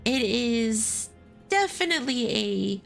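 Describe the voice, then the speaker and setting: A woman's voice: fairly high pitch, lively intonation, takes it slowly, creaky, faint music behind. A woman streamer giving casual, rambling commentary while playing a game, often giggling. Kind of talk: casual commentary over gameplay.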